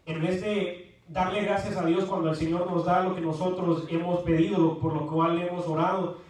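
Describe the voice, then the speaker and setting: A man speaking in a measured, earnest way, backing up the preacher's point with some hesitations. His voice sits high for a man. The recording echoes heavily and sounds far from the microphone. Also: little pitch movement, a little mellow. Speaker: church brother co-presenting. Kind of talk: sermon commentary. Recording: echoey room, mic at a distance.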